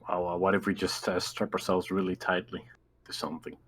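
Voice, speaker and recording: A man's voice: low voice, level tone, fairly quick, fairly bright tone. A man talking casually as a player in a tabletop game.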